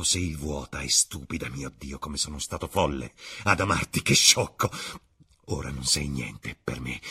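Cruel young gentleman, acted by a male narrator: rough-edged voice, theatrical scorn and bitter passion, for a classic novel reading. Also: low-pitched, full character voice.

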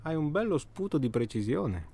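An actor in a radio drama playing an irritable, bitter married man, halting and repetitive, swearing as he talks in a naturalistic way. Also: fairly high pitch, sing-song, dark tone. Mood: grumbling, casual. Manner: profane, halting, repeats himself.

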